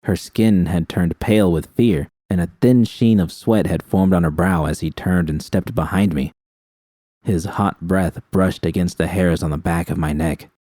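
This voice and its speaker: A man's voice: low-pitched, steady tone, moderate pace, dark tone, dry recording, studio quality. A man reading first-person fiction aloud as a scripted audiobook narration.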